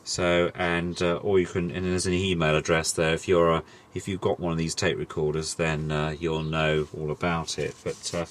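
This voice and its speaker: A man's voice: low voice, level tone, moderate pace, few pauses. A middle-aged man chatting casually through a hobby demonstration, rambling and hesitant as he goes. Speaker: host demonstrating a vintage tape recorder.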